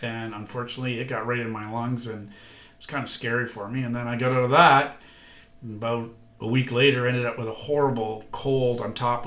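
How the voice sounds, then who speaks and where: A man's voice: medium pitch, a bit bright. An older man vlogging casually about learning guitar, folksy and self-deprecating, sounding a bit congested. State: congested, recovering from illness. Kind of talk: casual vlog chat. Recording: echoey room, guitar playing.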